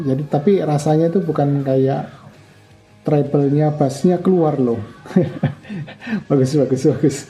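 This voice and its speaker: An older man giving a relaxed, chatty product review, full of fillers and restarts. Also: medium pitch, low-quality recording. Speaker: motorcycle gear reviewer.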